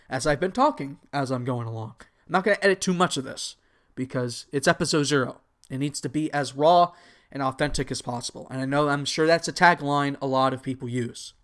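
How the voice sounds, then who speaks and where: A man's voice: medium pitch, fairly bright tone. A young man in his twenties with a Texan accent, hosting an unscripted solo podcast, rambling casually and correcting himself as he goes. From United States. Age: 20s. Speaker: podcast host.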